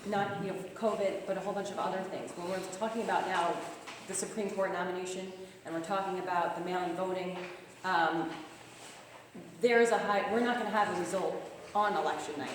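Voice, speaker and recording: A young woman with a Long Island accent, a campaign manager speaking fast and earnestly at a club meeting while losing her voice. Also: fairly low pitch, fairly even intonation, a little hiss or hum. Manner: fast-talking, earnest appeal. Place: meeting room. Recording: echoey hall from far off.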